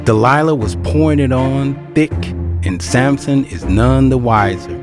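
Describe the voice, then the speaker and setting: A man's voice: mid-range voice, a little slow. Wry male narrator over music. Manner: wry, knowing. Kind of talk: dramatic storytelling narration.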